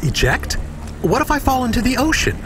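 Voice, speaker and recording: A man's voice: extremely high pitch, very animated, brisk, background noise. A man voicing a naive, frightened artificial companion in a video game, with simple, childlike phrasing. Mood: panicked.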